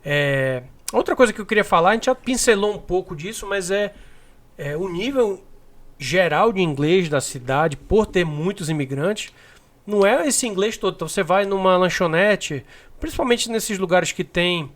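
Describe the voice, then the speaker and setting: A man co-hosting a podcast, chatting in a relaxed way with lots of filler words. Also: high voice, normal speed. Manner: relaxed, fills with é and né. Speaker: podcast co-host. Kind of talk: casual chat.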